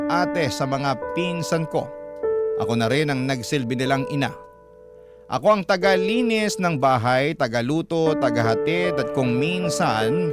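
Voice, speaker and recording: A man with a mid-pitched voice reads a scripted, dramatic life story as a radio storyteller. Very prominent music plays behind him throughout. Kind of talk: scripted dramatic narration of a listener's letter. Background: prominent background music.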